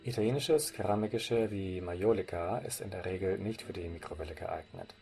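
A man's voice: sits low, brisk, gravelly, dark tone, faint music behind. A man from Germany presenting, reading scripted narration for a slide presentation in a formal way. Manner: formal, read-out delivery.

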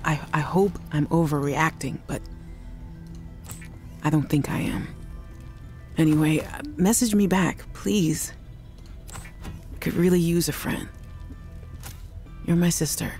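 Worried game scientist, apologetic, halting, restarts, faint music behind. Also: female voice, low voice, fairly even intonation, a little mellow. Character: Sam, woman scientist recording messages to her sister. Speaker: game character. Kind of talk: recorded voice messages in a game.

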